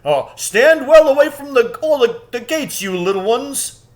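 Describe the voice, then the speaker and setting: A man's voice: very high voice, expressive, unhurried, pitched above the performer's own voice. A man voicing a bumbling, good-natured giant from a storybook, polite and deferential, with a hesitant, stammering way of talking. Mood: eager, deferential. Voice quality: puffing, breathy effort.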